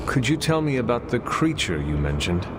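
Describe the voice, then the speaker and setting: An actor playing a calm, earnest hero in a video game, plain-spoken and gently questioning. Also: male voice, mid-range voice, moderate pace, over loud music, natural voice. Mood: curious, earnest. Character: earnest, supportive hero, calm and curious companion. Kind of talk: dramatic video game acting. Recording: clear background noise.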